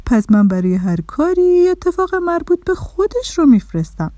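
A woman's voice: extremely high pitch, sing-song, mellow, no echo, clean studio sound, voice pushed up. A woman voicing a funny, tiny, self-important man in a children's story, explaining things emphatically. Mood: patient, instructive. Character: funny, tiny, busy, self-important male keeper of consequences.

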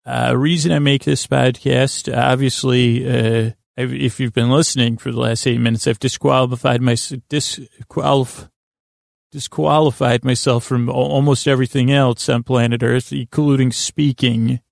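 A man hosting a sleep podcast in a meandering monologue, stumbling over words, circling back and gently goofy and self-deprecating. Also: mid-range voice, flat delivery, normal speed, gravelly, no room sound, studio quality. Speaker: podcast host.

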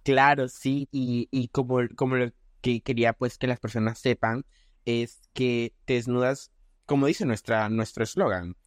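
Venezuelan man in his twenties, podcast host, halting chatty delivery with restarts, dry close mic. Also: mid-range voice, steady tone, no room sound. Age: 20s. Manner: halting, many restarts and fillers. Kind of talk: casual podcast chat.

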